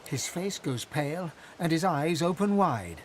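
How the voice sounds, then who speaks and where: Slightly high-pitched fantasy narrator. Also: male voice, expressive, slight background noise. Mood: solemn, measured. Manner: measured, literary, solemn. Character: measured male storyteller of a fantasy game. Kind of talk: scripted narration.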